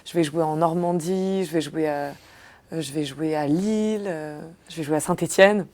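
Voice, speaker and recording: A woman's voice: sits low, normal speed, dark tone. A young woman comedian chatting casually in an interview, hesitant with many fillers and restarts.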